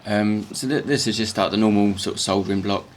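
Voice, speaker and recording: Man, croaky morning voice, halting repeated words, casual tutorial talk, faint workshop hum behind. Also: fairly low pitch, steady tone.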